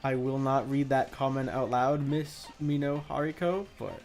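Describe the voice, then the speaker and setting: A man's voice: mid-range voice, steady tone, moderate pace, darkish tone. Casual man chatting over game music. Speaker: game streamer. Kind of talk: casual gaming commentary and chat.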